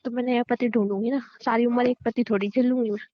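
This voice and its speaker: A woman's voice: on the high side, steady tone, fast, dry recording, natural voice. A young woman playing a teasing, blunt, playfully stubborn girlfriend in a casual romantic phone-call skit, sassy and dismissive. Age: young adult. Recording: dry, slightly muffled phone-call sound.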